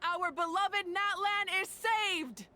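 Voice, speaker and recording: A woman's voice: on the high side, normal speed, background noise, close to an everyday voice. A woman voicing a dignified, resolute female war leader in a video game, speaking in solemn, formal oratory. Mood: proud, triumphant. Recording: game music behind.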